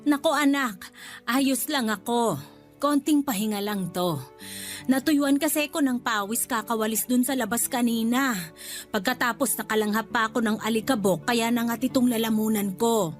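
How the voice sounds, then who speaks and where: Radio drama: elderly motherly nanny played by a woman, soft reassurance, higher register, music underneath. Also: moderate pace, lightly acted. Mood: soothing, reassuring. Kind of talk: Tagalog radio drama acting.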